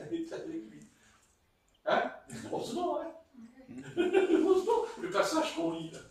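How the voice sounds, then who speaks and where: A man with a Marseille accent preaches in a lively, high voice, his pitch leaping around as he tells stories playfully. The sound is very echoey, as if caught from far across a church hall. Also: unhurried, creaky. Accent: Marseille French. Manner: animated, playful storytelling with chuckles. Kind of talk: sermon.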